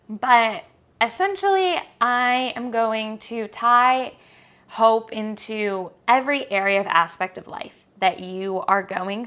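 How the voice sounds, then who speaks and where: Podcast host: young American woman, unhurried, crisp and bright, speaking earnestly and encouragingly straight to listeners. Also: a little high. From Michigan, United States. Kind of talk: solo podcast monologue, personal introduction.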